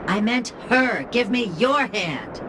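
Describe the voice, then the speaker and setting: A woman's voice: on the high side, lively intonation, slow pace, background noise. A woman playing a grumpy, sarcastic robot in a game, weary and dismissive about its job. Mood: irritated, bored. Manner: weary, dismissive, drawling impatience. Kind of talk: scripted game cutscene dialogue.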